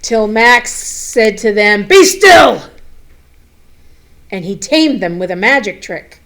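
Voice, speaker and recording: Unhurried woman reading to young children. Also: mid-range voice. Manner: expressive, addressing children with questions and simple explanations. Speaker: school superintendent reading a picture book to children. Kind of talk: children's read-aloud with chatty asides to young listeners.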